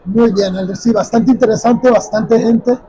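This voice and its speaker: High-pitched man interviewing at an expo. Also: fairly even intonation, normal speed, warm tone, breathy edge, poor audio. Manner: friendly, fillers and restarts. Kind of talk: trade-fair interview. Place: busy expo hall.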